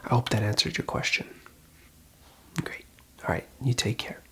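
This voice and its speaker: An ASMR host whispering in a breathy voice, giving reassuring, unhurried advice as a call centre phone counsellor. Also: medium pitch, fairly even intonation, a bit bright.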